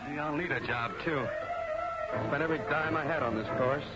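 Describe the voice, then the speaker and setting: An actor playing an eager, naive young student pilot in an old film serial, boyish and earnest. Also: male voice, a little high, brisk, lightly acted, poor audio. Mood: hopeful, excited. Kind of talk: 1940s film serial acting. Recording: old film soundtrack with loud music.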